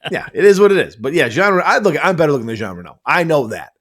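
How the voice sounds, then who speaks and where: A man's voice: fairly high pitch, sing-song, very fast, a bit bright. A man guesting on a podcast, chatting casually about movies, swearing freely and laughing at his own jokes.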